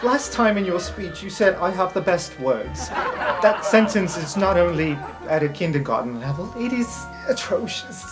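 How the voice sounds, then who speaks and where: Woman playing a sly, sardonic sister, lowish voice. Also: expressive, normal speed, music in the background, lightly acted. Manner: wheedling, dryly sarcastic. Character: sly, sardonic scheming sister of noble birth. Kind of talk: improvised tabletop roleplay.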